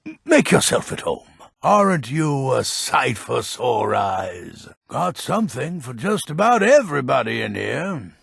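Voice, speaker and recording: A gruff old fantasy game villager, selling potions like a true curmudgeon. His voice is heavily gravelled and very husky. He takes his time over the words, at an unhurried pace. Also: mid-range voice, very animated, fairly bright tone, no echo, clean studio sound, exaggerated voice. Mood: welcoming. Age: older. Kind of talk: video game character lines.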